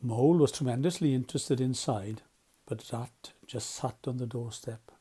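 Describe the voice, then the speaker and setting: A man's voice: medium pitch, normal speed, mellow, breathy edge. A man narrating a children's storybook as a volunteer storyteller.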